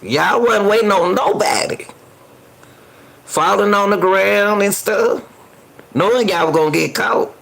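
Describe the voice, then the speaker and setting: A woman giving casual, colloquial reaction commentary as host, sounding incredulous, with drawn-out remarks. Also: mid-range voice, vocal fry, fairly bright tone.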